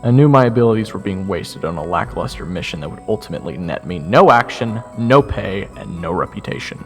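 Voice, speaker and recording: A young man narrates an audio drama in the first person with a sardonic, expressive delivery. His voice is gravelly and lower than average, with a lively swing in pitch. Soft music plays underneath. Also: fairly quick, big-room sound. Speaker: narrator and lead voice actor.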